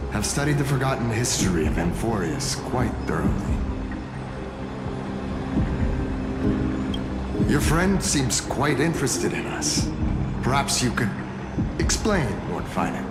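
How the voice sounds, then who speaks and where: A smug, menacing assassin leader from a video game, speaking slowly with swooping, theatrical mock courtesy. The voice rings in a long hall reverb over loud music. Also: male voice, lowish voice, very animated. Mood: mocking politeness. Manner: mockingly polite, drawn-out, theatrical. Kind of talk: dramatic video game dialogue.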